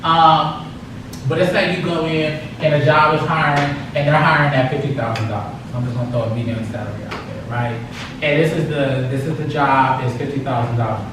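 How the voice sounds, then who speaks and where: A man's voice: on the high side, steady tone, fairly quick. A man giving a motivational talk to students in a casual, direct way with slang. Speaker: speaker. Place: large echoey hall. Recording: echoey hall from far off, audible noise.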